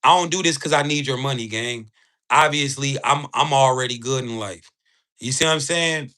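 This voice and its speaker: A man giving an informal, emphatic livestream sales pitch to his chat, talking in slang with frequent tag words. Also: moderate pace, pushed and bright. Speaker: live streamer selling spiritual ebooks.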